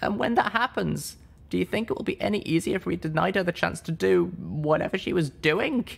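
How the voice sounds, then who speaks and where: A man voicing an earnest, anxious young female unicorn pony who speaks in halting, hesitant bursts. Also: sits high, expressive, creaky, husky, voice pushed up, heavily acted. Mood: worried.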